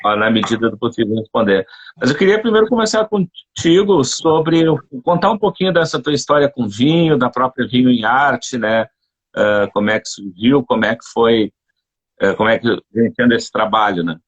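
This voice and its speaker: A man's voice: medium pitch, normal speed, highs cut off. Easygoing male host of a live chat. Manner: relaxed, informal, many fillers like "né". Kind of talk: live online interview.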